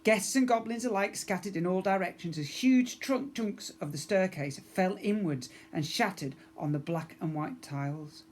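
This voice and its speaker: A man's voice: sits high, normal speed, hardly any pauses. A man narrating a children's story expressively, as a teacher reading to young pupils.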